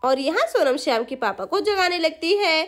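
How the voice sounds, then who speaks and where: A woman's voice: extremely high pitch, lively intonation, normal speed, a bit bright. A woman narrating a scripted children's story as the storyteller.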